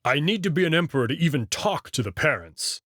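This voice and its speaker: A man voicing a guarded male wolf who captains a ship, speaking in a measured, matter-of-fact way while reading a visual novel aloud. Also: a little high, sing-song, a bit bright, dry recording, clean studio sound.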